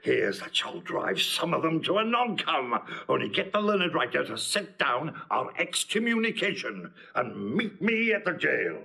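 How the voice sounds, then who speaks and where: An actor playing a bumbling, self-important old constable who mangles words, in a pompous, rambling Shakespearean comedy style. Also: male voice, fairly high pitch, big pitch swings, unhurried, vocal fry, hardly any pauses, bright, forceful tone, exaggerated voice. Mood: officious. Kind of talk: classical Shakespeare stage comedy acting.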